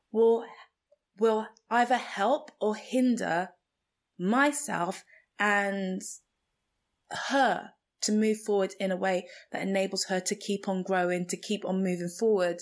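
Slow-speaking Nigerian woman, reflective podcast. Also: mid-range voice. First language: Igbo. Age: adult, a mother. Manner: slow, thoughtful, earnest delivery. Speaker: podcast host. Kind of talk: reflective personal monologue.

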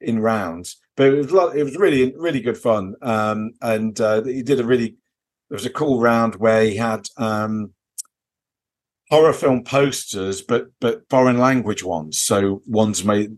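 A man's voice: medium pitch, unhurried. A man co-hosting a casual podcast, telling things in a rambling, repetitive way. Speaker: podcast co-host. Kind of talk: casual chat, anecdotes.

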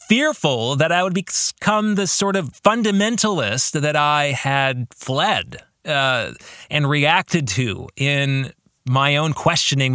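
Animated, bright-voiced man hosting a podcast. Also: on the high side, no room sound, clean studio sound. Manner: deliberate phrasing, posing questions to the listener. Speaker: podcast host and author discussing his own book. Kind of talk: reflective book-club podcast monologue.

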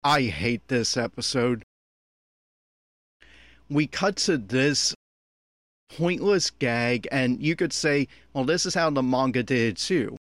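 A man's voice: medium pitch, lively intonation, slow, long pauses, fairly bright tone, no room sound, studio quality. A man giving an unscripted running commentary in a critical, exasperated, opinionated manner. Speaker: commentator on an anime episode.

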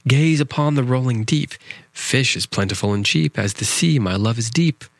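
Male reader plays tiny lovelorn storybook oddball, voice lifted high, earnest sing-song pleading, dry close sound. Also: moderate pace, vocal fry, no room sound. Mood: earnest wooing. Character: tiny big-headed nonsense creature, lovelorn, earnest, weary suitor. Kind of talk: storybook narrative poem reading.

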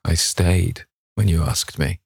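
A man's voice: sits low, takes it slowly, creaky, darkish tone, husky, no room sound, clean studio sound, heavily acted. A man voicing a witty, self-assured aristocrat with a flippant manner in a novel reading. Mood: cool, dismissive.

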